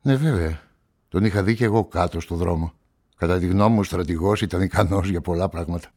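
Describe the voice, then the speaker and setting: A man acting as an inquisitive journalist who narrates a radio drama in a measured, probing manner. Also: low voice, darkish tone. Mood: probing, polite. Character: inquisitive male journalist investigating a murder, narrating his story. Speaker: narrator and lead actor.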